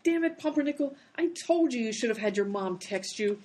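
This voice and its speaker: A woman's voice: a little high, expressive, a little fast, darkish tone, lightly acted. A woman playing an exasperated, sarcastic time traveler in a comedy radio play. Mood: indignant.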